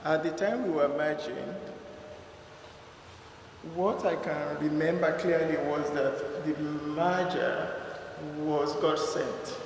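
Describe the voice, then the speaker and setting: A man from Nigeria, speaking with a Nigerian accent, gives a relaxed spoken reflection to a congregation. His voice is gravelly and fairly high, and he talks slowly. It sounds like an echoey church hall with faint noise. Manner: unhurried, conversational, with fillers. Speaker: church member giving a reflection.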